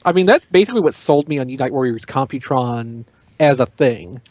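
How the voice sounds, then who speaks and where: A man chatting casually on a hobby panel, giving measured, analytical opinions. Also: mid-range voice, animated, a little mellow, no echo. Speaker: podcast panelist. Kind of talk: casual panel chat about toy collecting.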